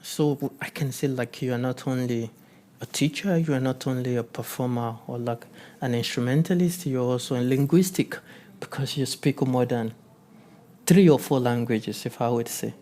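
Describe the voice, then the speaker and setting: A man hosting an interview, giving short backchannels and asking questions in a halting, searching way. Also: medium pitch, dark tone. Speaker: interviewer host. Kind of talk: casual interview.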